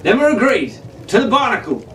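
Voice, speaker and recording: A man's voice: very high-pitched, very animated, fairly quick, fairly bright tone, pitched above the performer's own voice, full character voice. Bold young male pirate captain played by a man, scheming and declamatory, storybook adventure, echoey room. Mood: rallying. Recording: roomy, distant mic, faint background noise.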